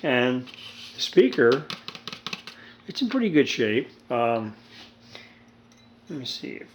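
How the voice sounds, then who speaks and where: A man's voice: medium pitch, takes it slowly. A man in his seventies giving a casual, rambling, folksy hands-on repair demonstration with dry humor. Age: 70s. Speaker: hobbyist radio restorer. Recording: steady background noise, homemade video.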